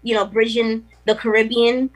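A young woman with a Trinidadian accent, chatting excitedly about her music in a casual interview over a video call, full of "like" and "you know". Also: fairly high pitch, normal speed, poor audio. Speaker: rapper guest.

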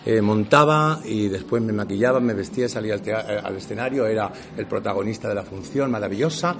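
A man's voice: on the low side, brisk, background noise. A middle-aged man from Seville, an actor, talking casually in an interview and acting out the dialogue in his stories. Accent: neutral Castilian Spanish for work, Andalusian at home. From Seville, Spain. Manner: animated storyteller, acts out quoted dialogue.

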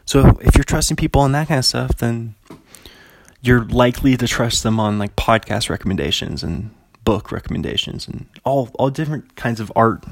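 A man's voice: mid-range voice, moderate pace, vocal fry. A man hosting a podcast on his own, rambling in a loose, chatty way and often chuckling between thoughts. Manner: rambling, chuckling, heavy use of "like". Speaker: podcast host. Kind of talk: casual solo podcast monologue.

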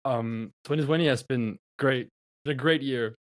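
A young man from Denmark, a musician guest joining by remote call, chats upbeat and laughs easily. His voice is mid-pitched and sounds dry and close. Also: normal speed, no echo. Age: young adult. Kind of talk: casual interview chat.

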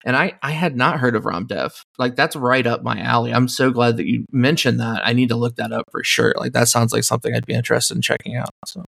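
A calm man speaks unhurriedly, with a gravelly edge to his mid-pitched voice. He is a podcast guest in relaxed conversation, and he leans on fillers as he goes. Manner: slow, calm, with many you-knows. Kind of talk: casual conversation.

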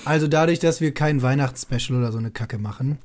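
A man's voice: medium pitch, fast talker, a little mellow. A young man co-hosts a podcast in casual, slangy banter with a wry, teasing manner. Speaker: podcast co-host.

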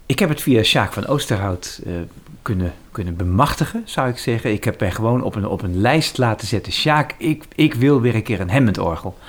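A man's voice: fairly low pitch, lively intonation, brisk. A man with a Netherlands Dutch accent talking casually as an interviewed musician, often repeating and rephrasing. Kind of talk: casual anecdotal interview talk.

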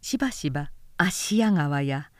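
A woman's voice: sits low, animated, measured pace, no echo, studio quality. A woman reading literary fiction aloud as a narrator, in a composed, carefully paced storytelling manner.

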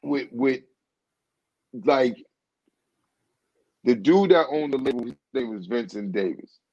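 A man in a casual interview rambles through a story, circling back and restarting his sentences as he goes. His voice sits at a middling pitch. It comes through a muffled, dry recording with little sense of a room. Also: animated, takes it slowly, a little mellow, no room sound. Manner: rambling storyteller with frequent "you know what I'm saying". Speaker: guest singer.

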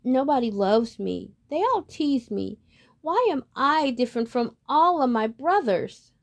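A woman's voice: medium pitch, expressive, measured pace, a little mellow. A woman voicing a sad, lonely young male duckling in a storybook reading, with a plaintive, pleading way of talking.

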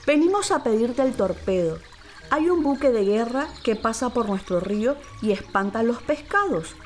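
A woman voicing an old, wise and sly male caiman in a children's storybook radio play, with deliberate theatrical delivery. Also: fairly high pitch, lively intonation, darkish tone, soft background music, voice pushed up, close to an everyday voice. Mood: earnest, pleading.

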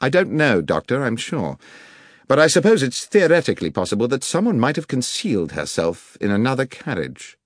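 A man's voice: medium pitch, big pitch swings, fairly quick, no echo, studio quality, pitched above the performer's own voice. A man voicing an earnest, deferential police inspector who is puzzled, in an audiobook reading. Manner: eager, deferential.

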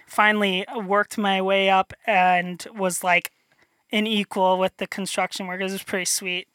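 A young man from North Dakota with a high voice, chatting as an interview guest, rambling and self-deprecating with many restarts. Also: level tone, moderate pace, sharp tone, dry recording. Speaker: illustrator guest. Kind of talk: casual interview chat.

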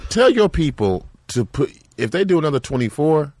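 A man hosting a casual comedy podcast, animated and joking, swearing freely. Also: fairly high pitch, moderate pace, dry recording. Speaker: podcast host.

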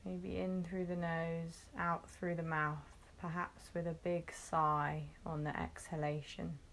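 Slow-speaking woman guiding a meditation. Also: on the low side, level tone, gravelly, slight background noise. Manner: gentle, unhurried, with long pauses between short phrases. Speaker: meditation guide. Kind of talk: guided meditation, soothing instruction.

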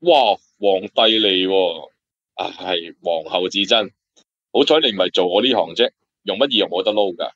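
Deep, easygoing bartender played by a man. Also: normal speed, a bit bright, muffled recording. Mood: jokey, friendly. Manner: jokey, good-natured. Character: easygoing macho bartender, good-natured with his customers. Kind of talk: Cantonese audio drama acting.

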